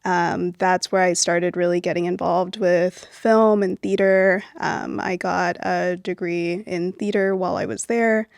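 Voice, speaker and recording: Relaxed young woman with a gravelly edge. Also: fairly low pitch, measured pace, few pauses, no room sound, studio quality. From Venezuela, raised in Miami. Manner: relaxed, with frequent "um" and "like" fillers. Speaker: guest, film producer. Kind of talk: casual interview conversation.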